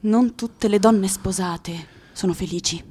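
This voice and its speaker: A woman's voice: medium pitch, a little slow, husky. An actress playing a guarded, resigned young woman of twenty who answers tersely in a radio drama. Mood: defiant, irritated. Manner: terse, flat answers, wary. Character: guarded, curt twenty-year-old Russian prostitute, resigned and defiant.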